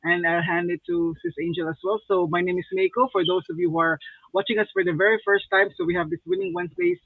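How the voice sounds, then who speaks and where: A high-pitched man hosts a live online show, filling his pauses with plenty of ums and uhs. His voice is bone dry and squeezed through a narrow, phone-like line, so it sounds thin and tinny. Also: lively intonation, dry recording. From Philippines. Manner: hesitant, many fillers. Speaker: host.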